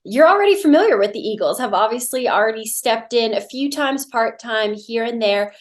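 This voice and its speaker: A woman's voice: on the high side, lively intonation, fairly quick. A woman with an American accent hosting an upbeat promotional interview for a sports team.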